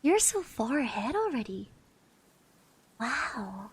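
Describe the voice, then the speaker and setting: A woman playing a shy, friendly schoolgirl classmate in an ASMR roleplay, speaking softly, gently and hesitantly. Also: high-pitched, very animated, takes it slowly, a little hiss or hum, exaggerated voice. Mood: soft, admiring. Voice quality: soft, breathy. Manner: hesitant, gentle, with little hums and trailing repeats. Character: shy, friendly high school girl classmate, admiring and easily flustered.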